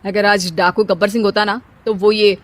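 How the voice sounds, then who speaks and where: A woman's voice: mid-range voice, flat delivery, normal speed, lightly acted. Woman playing a wry, teasing young husband. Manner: sarcastic, joking. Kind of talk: animated cartoon story dialogue.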